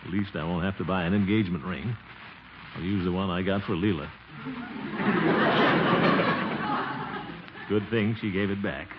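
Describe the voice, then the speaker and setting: An actor playing a flustered, sentimental middle-aged American bachelor in a theatrical 1940s radio comedy style. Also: male voice, deep voice, fairly even intonation, fast talker, heavy background noise, close to an everyday voice. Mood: wistful, resigned. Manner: theatrical, sighing, exclaiming. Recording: muffled 1940s radio recording with music.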